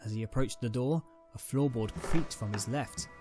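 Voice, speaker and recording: A man narrates a dramatic story from a script. His voice sits at an ordinary male pitch, and music plays softly behind him. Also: normal speed. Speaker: narrator, reader. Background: background music.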